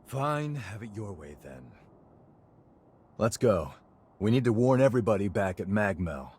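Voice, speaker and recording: A brash, expressive young fighter. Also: male voice, on the low side, a little slow, slightly rough, heavily acted. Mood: resigned, urgent. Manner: casual, cocky, expressive. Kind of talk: video game cutscene acting.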